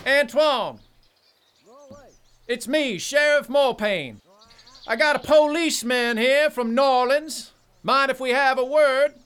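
A man's voice: very high-pitched, slow, pauses often, fairly bright tone, slight background noise, exaggerated voice. A man playing a folksy, nosy small-town sheriff with a Southern US drawl in a radio drama. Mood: hearty. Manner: folksy drawl, outwardly genial.

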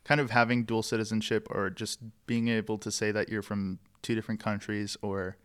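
An easygoing man from the United States chats casually. His voice sits a little low, and he laughs between his remarks. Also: flat delivery, a little fast, a bit bright, smooth. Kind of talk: casual chat.

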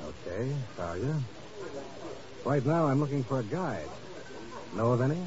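A male actor playing a no-nonsense secret agent in a 1950s espionage radio drama, clipped, businesslike and forceful. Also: mid-range voice, sing-song, measured pace, crowd noise. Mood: terse, businesslike. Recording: noisy, dull old radio recording.

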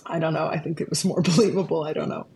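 A woman from Arkansas with a low speaking voice, telling stories as an interview guest, chatty and laughing often. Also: fairly even intonation, fast talker, darkish tone. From Arkansas, USA. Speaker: guest composer. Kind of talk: casual conversational storytelling.

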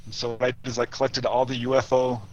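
A man speaks in a medium-pitched voice as a guest in an interview. He answers conversationally, explaining and telling accounts in an informal way. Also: level tone, fast talker, muffled recording. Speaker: guest, UFO researcher.

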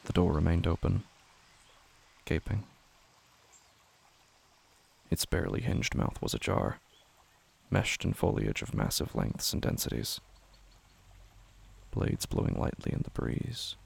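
A man narrating a scripted horror story in a hushed, deliberate, brooding, gravelly manner. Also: rumbling low, sing-song.

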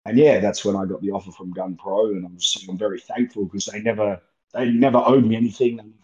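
A young Australian man, a guest wrestler, talking in a relaxed, rambling way and laughing easily, heard over a remote call. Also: lowish voice, brisk. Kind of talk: casual interview chat. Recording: muffled lo-fi call audio.

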